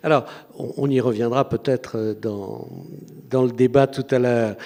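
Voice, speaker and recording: A man's voice: medium pitch, steady tone, moderate pace, dark tone. A man moderating a conference panel, explaining terms and introducing speakers in a conversational way with fillers.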